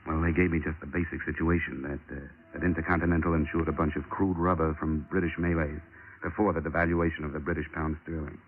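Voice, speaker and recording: A man's voice: extremely low pitch, little pitch movement, fast talker. An actor playing a tough, terse insurance investigator who narrates his own case in a 1940s radio drama. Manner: terse, hard-boiled. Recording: muffled old radio broadcast with music.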